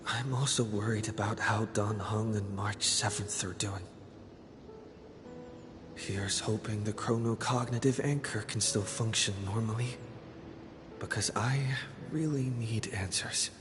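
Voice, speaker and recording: An actor playing a thoughtful young male traveler in a video game, worried and searching, in hushed, introspective monologue. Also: lowish voice, measured pace, music in the background, lightly acted. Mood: pensive, worried. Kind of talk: scripted game inner monologue. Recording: long reverb on the voice.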